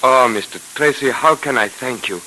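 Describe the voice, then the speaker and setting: A man's voice: medium pitch, moderate pace, pushed and bright, lightly acted, low-quality recording. An actor in a 1930s radio serial playing a humble, devout Egyptian man with courtly, effusive phrasing. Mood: effusively grateful. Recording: hissy old 1930s radio recording.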